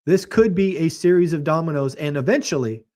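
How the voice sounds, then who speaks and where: A man with a slightly raised pitch, his voice a little hoarse as if it is fading. He hosts a real estate show and delivers market commentary in a blunt, emphatic way, with a mocking edge. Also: fairly quick, dark tone.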